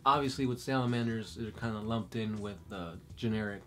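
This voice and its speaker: A man's voice: fairly low pitch, brisk, a bit bright, faint music behind. A man co-hosting a casual chat and reading viewer questions, easygoing and thinking aloud with uhs.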